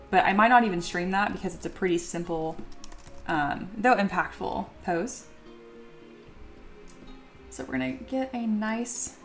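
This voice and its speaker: A young adult woman chatting casually on a live stream while she sculpts at home, reading viewer messages aloud and laughing often. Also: mid-range voice. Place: home studio.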